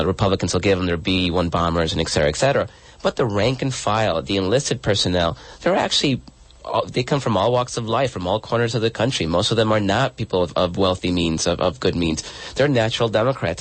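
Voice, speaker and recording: A man who grew up in El Salvador talks earnestly through interview answers, often restarting phrases and repeating words. His voice sits low, and the recording is dry and close. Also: dry recording, low-quality recording. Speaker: guest author and blogger.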